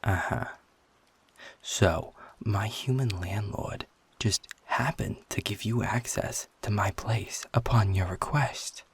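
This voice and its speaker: A man playing a guarded, sarcastic, defiant werewolf in an intimate audio roleplay, talking bluntly with a husky, gravelly voice. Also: fairly low pitch, no room sound, heavily acted. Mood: sarcastic, wary. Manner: sardonic, blunt, swearing, confrontational.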